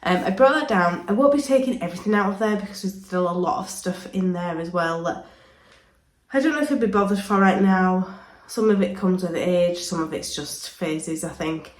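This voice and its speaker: A woman with a cold, chatting casually through a home vlog and filling pauses with frequent ums. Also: lowish voice. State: full of cold, sore throat. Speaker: vlogger. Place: indoors, home playroom. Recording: echoey room.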